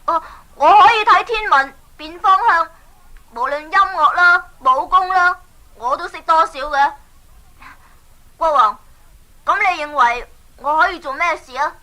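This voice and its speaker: A woman's voice: very high-pitched, measured pace, pauses often, pushed and bright, full character voice, poor audio. A woman playing an earnest, plucky boy adventurer in a Cantonese children's radio drama, with a Hong Kong accent and a wide-eyed, exclamatory delivery. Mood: respectful, eager.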